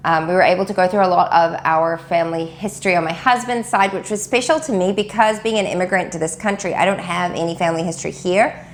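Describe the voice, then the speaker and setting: A woman in her thirties talks casually to camera in a low, gravelly, husky voice, a little nasal from a recent cold. She rambles warmly with ums and restarts. Faint room ambience hums behind her. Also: steady tone, quick pace, slight background noise. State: recovering from a cold. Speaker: homeschool vlogger. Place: warm room at home full of books.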